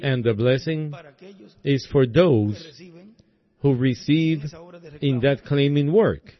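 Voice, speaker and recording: Slow, hoarse male preacher. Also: mid-range voice, lo-fi sound. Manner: deliberate, halting phrasing with many pauses. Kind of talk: sermon.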